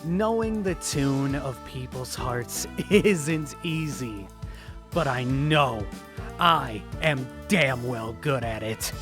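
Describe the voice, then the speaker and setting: A man voicing a suave, laid-back French seventeen-year-old talent scout in an audio drama interview, calm and confident. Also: medium pitch, takes it slowly, music in the background, voice pushed up. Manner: calm, confident, silver-tongued.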